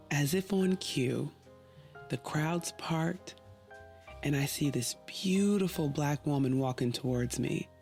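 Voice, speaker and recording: A woman's voice: sits low, darkish tone. Woman podcast host, wry conversational narration, playful asides, faint music underneath. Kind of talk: scripted storytelling narration.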